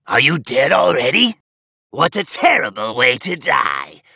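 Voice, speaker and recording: Casting: arrogant alien parasite villain, anime game; harsh rasp, wildly swinging pitch, menacing taunts. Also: male voice, wildly swooping pitch, takes it slowly, no echo, studio quality, heavily acted. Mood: gloating, taunting. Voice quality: raspy, gravelly. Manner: grandiose, menacing, taunting, often shouting. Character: arrogant, sadistic alien parasite conqueror, male, gloating villain. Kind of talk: theatrical anime game battle lines.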